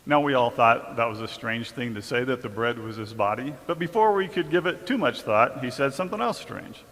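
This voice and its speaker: A man's voice: mid-range voice, lively intonation, brisk, fairly bright tone. A man playing an earnest disciple of Jesus, recounting and explaining events expressively in a live church drama. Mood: wondering. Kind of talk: dramatic storytelling in a church performance. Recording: reverberant hall.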